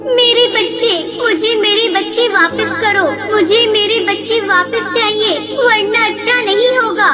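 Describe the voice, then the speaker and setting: A woman's voice: extremely high pitch, measured pace, few pauses, over loud music. A woman voicing an evil, defiant ghost bird witch in a cartoon horror story, with a commanding, dramatic delivery. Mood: furious, defiant.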